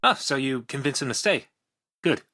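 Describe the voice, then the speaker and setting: A man's voice: medium pitch, animated, normal speed, pushed and bright. A man playing a brash, cocky robot who argues in a sarcastic, needling way in an audio drama. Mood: wry. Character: brash, sardonic male robot rival, cocky and argumentative.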